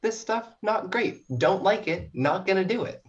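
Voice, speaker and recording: A woman's voice: medium pitch, normal speed. A young Canadian woman from small-town New Brunswick chatting casually as a podcast guest, playful and self-deprecating. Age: young adult. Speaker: student writer, podcast guest. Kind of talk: casual interview chat. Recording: dull lo-fi podcast audio.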